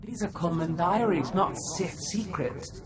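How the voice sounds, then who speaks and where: A sly, theatrical, scholarly Sith. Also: male voice, high voice, expressive, measured pace, a crowd in the background. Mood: puzzled, intent. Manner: articulate, theatrical. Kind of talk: video game cutscene dialogue. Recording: music behind the voice.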